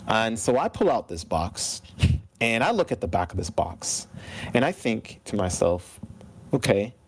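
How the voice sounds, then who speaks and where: Guy in his 30s just chatting, super animated storyteller, voice swings up and down a lot. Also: on the low side, a little slow, breathy edge. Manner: acts out remembered dialogue. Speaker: interview guest, video game composer. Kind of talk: casual chat, animated storytelling.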